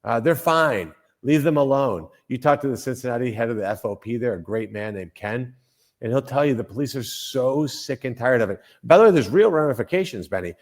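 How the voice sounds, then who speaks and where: A man's voice: medium pitch, expressive, brisk. An older man from Colombia, a senator speaking as a guest in a political interview, emphatic, with frequent restarts and repeated phrases.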